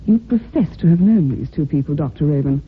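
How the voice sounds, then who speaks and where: An actress playing a prim, defensive, devoted secretary who speaks formally and emphatically in an old-time radio drama. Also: female voice, low voice, lively intonation, fairly quick, slightly rough, exaggerated voice. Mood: bitter, frank. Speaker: actor in a radio mystery. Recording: thin, phone-like old radio recording.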